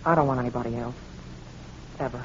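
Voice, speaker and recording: A man's voice: medium pitch, fast, lightly acted. An actor playing an anguished, lovesick, naive young man, earnest and melodramatic, in a 1940s radio crime drama. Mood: despairing. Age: young adult. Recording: muffled, noisy old radio recording.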